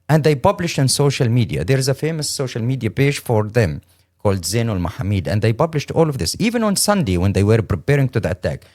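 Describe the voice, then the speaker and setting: A middle-aged man from Syria with a Syrian Arabic accent, speaking English as a second language in an earnest, halting, emphatic interview account. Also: mid-range voice, darkish tone. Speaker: interview guest, lawyer and humanitarian.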